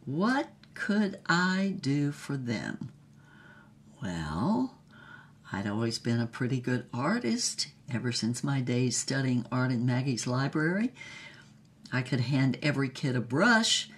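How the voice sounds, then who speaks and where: A woman's voice: extremely low pitch, big pitch swings, slow, exaggerated voice. An actress playing an older, reflective woman with a wry, emphatic way of telling her mystical life story in a drama. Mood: wry, reminiscing. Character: old woman, reflective mystical storyteller and former fugitive artist. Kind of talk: dramatic first-person storytelling, acted as conversation.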